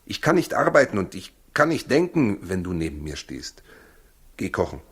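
Proud brooding husband in an old 60s german radio drama, deep husky voice, sounds worn down by doubt. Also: male voice, sing-song, moderate pace, a bit bright, exaggerated voice. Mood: troubled, agitated. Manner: weary, brooding, defensive. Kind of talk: 1960s German radio play acting.

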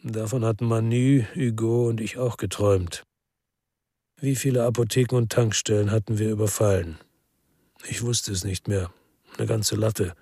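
Middle-aged ex-cop narrator in radio noir: rough-edged voice, dry sound, understated, world-weary delivery. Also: male voice, lowish voice, fairly even intonation, normal speed, warm tone, no room sound. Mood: rueful. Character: world-weary male ex-cop narrating his crime story. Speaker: narrator and lead character. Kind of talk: first-person crime radio drama narration.

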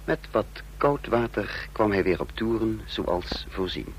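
A shrewd secret agent in a radio drama. His voice is on the low side, measured and knowing. A faint noise lies under the old recording. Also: lively intonation. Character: shrewd secret agent narrating his own spy scheme. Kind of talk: radio drama narration and acting.

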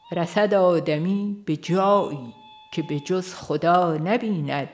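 An audiobook reader, giving a scripted narration of a religious book. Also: mid-range voice.

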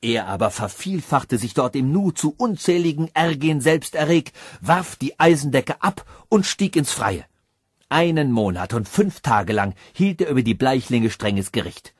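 Male audiobook narrator, husky tone, dry close sound, dramatic fairy-tale telling. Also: mid-range voice, sing-song, unhurried, no room sound.

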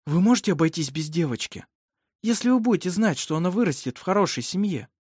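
A woman voicing a resolute, emphatic midwife in an audiobook, speaking with brisk authority. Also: lowish voice, animated, darkish tone, husky, no room sound, studio quality, voice pushed up. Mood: persuasive.

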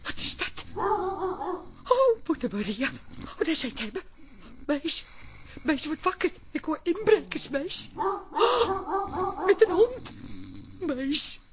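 Very high-voiced panicky Dutch woman. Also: lively intonation, takes it slowly, pauses often, crowd noise, full character voice. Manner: whiny, fretful, exclaiming. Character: panicky, superstitious daughter afraid of burglars. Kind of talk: comic radio play acting. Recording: very noisy, dog barking behind.

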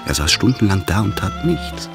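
A man narrating a literary radio drama in a measured, deliberate way. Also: sits low, sing-song. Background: instrumental music.

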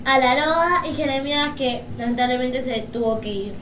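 Argentine female radio co-host, high-pitched, informal, in a roomy, noisy recording. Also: normal speed. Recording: roomy, distant mic, noisy background.

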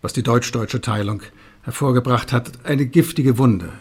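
An actor playing a solemn male television talk show host in a radio drama, speaking formally and gravely with deliberate pauses. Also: medium pitch, natural voice. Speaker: TV presenter. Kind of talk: radio drama, staged studio presentation.

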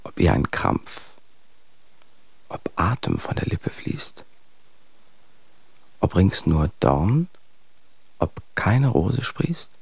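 An actor in a German radio drama playing a dark, obsessive romantic poet who recites verse in a halting, incantatory way, creaky and breathy. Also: male voice, sits low, wildly swooping pitch, no echo, full character voice, poor audio. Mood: haunted. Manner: incantatory, halting, swooping delivery.

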